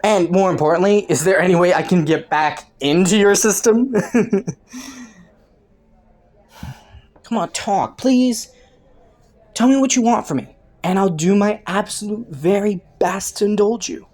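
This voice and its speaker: A woman's voice: medium pitch, moderate pace, close to an everyday voice. A woman playing a flirty, taunting underworld criminal in a roleplay audio, with a playful, teasing drawl. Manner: playful, teasing drawl with frequent soft chuckles.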